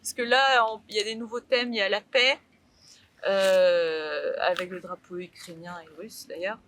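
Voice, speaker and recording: A woman's voice: mid-range voice, fairly even intonation, normal speed, fairly bright tone, slight background noise. A young woman from Brittany, a painter, talking casually in an interview with many fillers and restarts.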